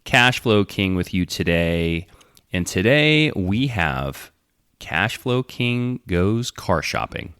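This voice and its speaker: A man's voice: low-pitched, big pitch swings, slow, fairly bright tone, clean tone, no room sound, studio quality. A man hosting his podcast alone, reading announcements in a casual, rambling way while slightly stuffed up from a runny nose. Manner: conversational, rambling announcements with fillers. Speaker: podcast host. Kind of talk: casual solo podcast talk.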